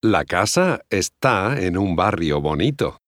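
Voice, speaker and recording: A man reading scripted phrases for language learners, deliberate and clearly enunciated. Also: low voice, very animated, a bit bright, no room sound, studio quality. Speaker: language course model speaker.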